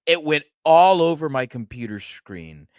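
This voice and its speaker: Slow-talking excitable male podcast host. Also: on the high side, no room sound, clean studio sound. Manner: excitable, laughs often, swears when worked up. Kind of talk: casual chat about a horror movie.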